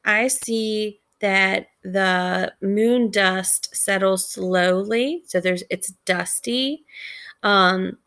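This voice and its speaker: A woman's voice: mid-range voice, very slow, fairly bright tone, clear, resonant tone. A woman teacher giving casual lesson commentary, conversational and thinking aloud with questions for listeners.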